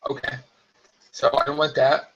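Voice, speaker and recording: A hoarse man chatting casually on a live stream from home, rambling with many fillers. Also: mid-range voice, little pitch movement, normal speed, fairly bright tone. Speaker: vlogger hosting a live stream. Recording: slightly dull lo-fi live stream audio.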